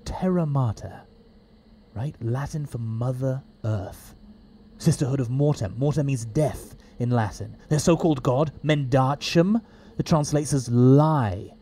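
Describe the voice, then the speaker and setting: A man playing a quick-witted, eccentric time traveller in an audio drama, wry and explanatory. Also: medium pitch, warm tone, slight background noise, natural voice. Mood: wry, thinking aloud.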